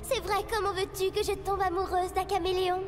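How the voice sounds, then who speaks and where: A woman voicing a bossy, headstrong cartoon schoolgirl with a brisk, emphatic delivery. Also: very high voice, flat delivery, faint music behind. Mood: persuasive. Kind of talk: French animated series acting.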